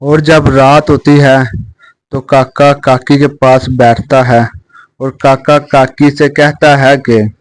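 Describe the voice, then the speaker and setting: A man's voice: medium pitch, little pitch movement, takes it slowly, no room sound, faint music behind, rough recording. A man narrating a scripted children's story as a close, dry voice-over.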